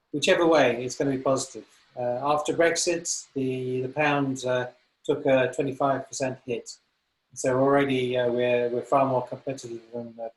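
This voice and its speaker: Hesitant older English man, roomy sound. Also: medium pitch. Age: 60s. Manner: thoughtful, halting, many fillers and restarts. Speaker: business consultant. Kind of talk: interview answers.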